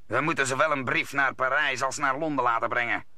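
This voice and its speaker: A stern musketeer in a vintage radio play. His voice is very forceful and bright, commanding, and recorded dry. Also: medium pitch, a little fast, no room sound. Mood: grave. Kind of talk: old Dutch radio play acting.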